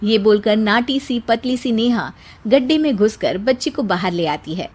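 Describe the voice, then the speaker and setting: A woman's voice: a little high, expressive, moderate pace, darkish tone. A woman narrating a scripted story as a storyteller.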